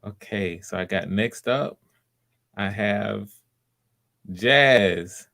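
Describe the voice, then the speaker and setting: Man, somewhat deep voice, encouraging casting host chatting casually on a live stream. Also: extremely slow, gravelly, sharp tone.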